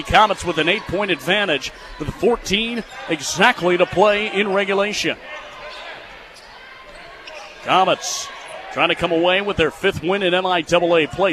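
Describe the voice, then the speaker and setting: A young American man calling live basketball on radio as play-by-play broadcaster, in clipped phrases and excitable on big plays. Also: high voice. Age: young adult. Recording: clearly noisy live sports venue sound.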